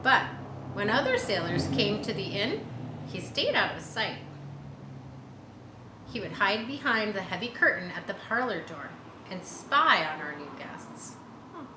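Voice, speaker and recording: A woman's voice: mid-range voice, very animated, a little slow, long pauses. A woman reading a children's chapter book aloud, with simple explanatory asides for young listeners. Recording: roomy echo, faint background noise.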